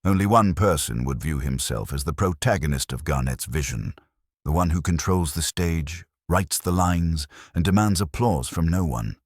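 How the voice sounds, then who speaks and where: A man voicing a keen, brooding master detective in an audio story, explaining in a deliberate, theatrical manner. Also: a bass voice, lively intonation, dry recording, studio quality. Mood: dramatic, assured.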